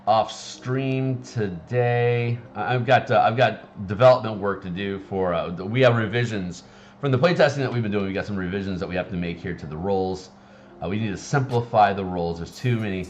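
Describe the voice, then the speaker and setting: A man with a raspy morning voice, chatting casually on a live stream and rambling with many fillers and restarts. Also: low-pitched. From Seattle area. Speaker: streamer and game designer.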